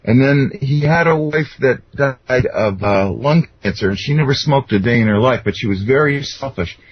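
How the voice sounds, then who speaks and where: A middle-aged or older man talks as an interview guest, his voice husky and of medium pitch. He explains and tells personal stories earnestly, wandering as he goes. The audio is a little dull and lo-fi. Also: lively intonation, moderate pace, dry recording. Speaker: interview guest, self-described contactee. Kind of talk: conversational personal storytelling.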